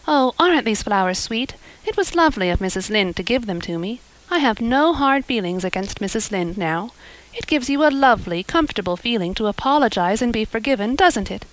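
A woman's voice: on the high side, lively intonation, fairly quick, natural voice, poor audio. A woman reading aloud, voicing an eager, chattering orphan girl who gushes dreamily. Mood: eager, dreamy. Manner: breathless, dreamy, gushing.

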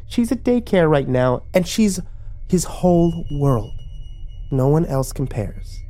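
A man voicing a snide, possessive young wife in an audio story, with a shrill, high-pitched voice. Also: sing-song, slow, warm tone, faint music behind. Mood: smug, defiant. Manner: scoffing, stammers when cornered.

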